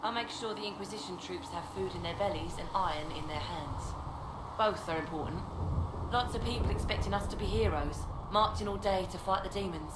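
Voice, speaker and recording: A woman playing a blunt, loyal and weary army quartermaster in a video game, brisk and plain-spoken. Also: mid-range voice, natural voice. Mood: brisk, practical. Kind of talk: video game dialogue acting. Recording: roomy game audio, very noisy background.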